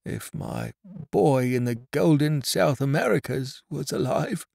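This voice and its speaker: A man's voice: mid-range voice, lively intonation, slow pace, gravelly, a little mellow, dry recording, clean studio sound, full character voice. A man voicing a devoted, emotional old father in a Victorian storybook. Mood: trembling hope. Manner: exultant, emotional repetition.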